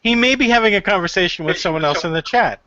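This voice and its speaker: A man's voice: high voice, animated, fast talker, sharp tone. A man co-hosting a podcast, teasing the others and laughing easily. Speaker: podcast co-host. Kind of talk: casual banter. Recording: dull lo-fi audio.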